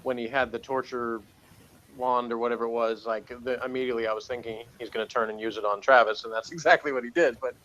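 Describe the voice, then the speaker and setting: Medium-pitched man, hesitant casual reviewer. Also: steady tone, quick pace, fairly bright tone, low-quality recording. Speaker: co-host giving review opinions.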